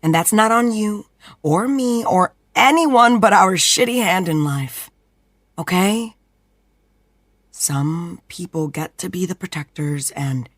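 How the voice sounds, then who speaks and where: A young woman acting in an audio drama as a protective twin sister, speaking earnestly and softly. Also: low voice, slightly rough, dry recording, exaggerated voice. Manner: earnest, soft-spoken, emotional. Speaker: twin sister of a vampire fighter.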